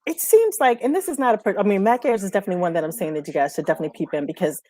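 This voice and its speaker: A woman co-hosting a casual music show, talking in an animated, slangy way. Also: medium pitch, racing, dry recording.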